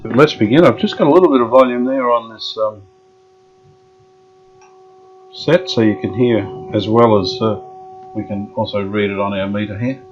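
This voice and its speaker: A man restoring an old radio, explaining each step in an unhurried way with many pauses and fillers. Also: fairly low pitch, lo-fi sound, over music. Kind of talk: casual workshop demonstration.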